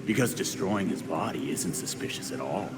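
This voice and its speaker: An actor playing a stern, suspicious vigilante in a video game, terse and challenging. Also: male voice, low-pitched, animated, normal speed, over loud music, rough recording. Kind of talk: video game cutscene acting. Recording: clear vehicle-like ambient noise.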